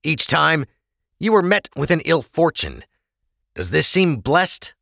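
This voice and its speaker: A man's voice: fairly high pitch, very animated, takes it slowly, vocal fry, sharp tone, dry recording, clean studio sound, voice pushed up, heavily acted. A man voicing stern, scornful prison guards, raising his voice into a harsh, scolding tone.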